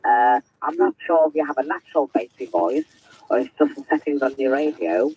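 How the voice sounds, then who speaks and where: Lively-voiced man in his fifties on ham radio. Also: fairly high pitch, brisk, a little hiss or hum, rough recording. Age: 50s. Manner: chatty, hesitant, full of restarts. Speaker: amateur radio operator. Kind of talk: ham radio contact chat.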